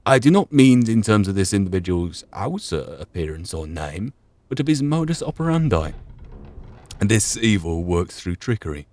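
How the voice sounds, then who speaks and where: A man giving measured, reflective commentary and reading aloud during a video game playthrough. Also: low-pitched, a little mellow. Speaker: gaming channel player.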